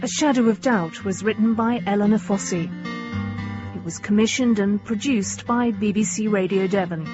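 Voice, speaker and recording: A woman's voice: medium pitch, normal speed. Female radio credits announcer, scripted read, slightly dull sound, light guitar underneath. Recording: slightly muffled over guitar music. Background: plucked guitar music.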